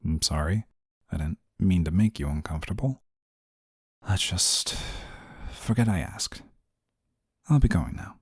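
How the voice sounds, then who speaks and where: A man's voice: low voice, lively intonation, measured pace, pauses often, breathy edge, dry recording, clean studio sound, exaggerated voice. A man playing a stern, easily flustered guardian angel in a scripted audio roleplay, speaking formally and with prim reserve. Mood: apologetic, withdrawn. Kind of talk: scripted audio roleplay, intimate one-sided dialogue.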